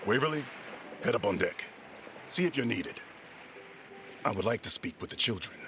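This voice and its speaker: A man playing a stern, fatherly first mate in an audio drama, speaking firmly and in measured words. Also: lowish voice, animated, background noise, close to an everyday voice. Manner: firm, measured.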